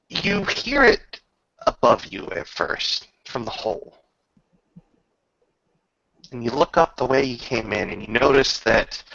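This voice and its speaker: A man working as game master, narrating a tabletop roleplay and talking rules casually in a halting way, pausing mid-sentence. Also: on the high side, creaky, fairly bright tone, highs cut off.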